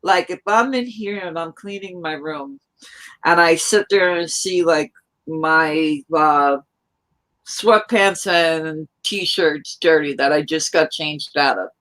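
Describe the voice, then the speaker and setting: A woman from New York chatting casually on a live stream, rambling in a loud, hyper way. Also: low-pitched, slow. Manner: rambling, hyper, self-described loud talker.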